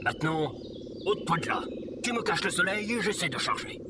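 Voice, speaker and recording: A man's voice: high-pitched, animated, brisk. A man playing an eccentric sage robot mentor in audio fiction, theatrical, lofty and instructive.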